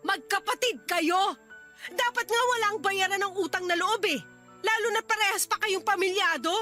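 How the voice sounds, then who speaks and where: A woman playing a frustrated, sharp-tongued wife in a Tagalog radio drama, confrontational and emphatic. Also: very high-pitched, moderate pace. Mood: furious. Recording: music bed under the voice.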